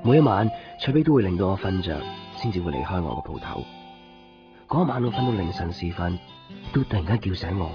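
A low-voiced, mild young Hong Kong shopkeeper tells his story plainly in a radio drama. He is gentle and easygoing. The recording is dull, with music clearly playing behind him. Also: big pitch swings, a little fast. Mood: dreamy, relaxed. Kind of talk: radio drama narration and dialogue. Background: prominent background music.

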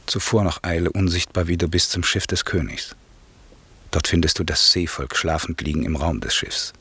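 Husky, low, solemn sorcerer duke. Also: male voice, sharp tone, dry recording, full character voice. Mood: tender. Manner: declamatory, weighty, measured. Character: aging exiled duke and sorcerer, solemn, commanding, forgiving. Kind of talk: 1960s German radio play, classical verse acting.

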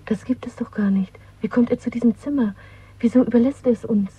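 A woman playing a bold, playful, worldly young lover in a German radio play, with a husky voice. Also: a little high, steady tone, brisk, warm tone, slight background noise, close to an everyday voice. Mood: amazed. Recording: thin phone-like old radio recording.

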